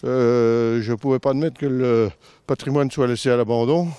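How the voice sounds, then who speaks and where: A man from the Vosges answers a reporter's questions outdoors in short, plain-spoken sentences. His voice is mellow and dark. It sounds close and dry. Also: mid-range voice, steady tone, moderate pace, clean tone, no room sound. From Vosges, France. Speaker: volunteer. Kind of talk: casual interview answers. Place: outdoors in a forest.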